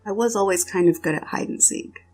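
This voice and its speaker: A man's voice: sits high, fairly quick, a little mellow, close to an everyday voice. A man playing a shy, timid younger brother in an audio story. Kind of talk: dramatic audio story dialogue.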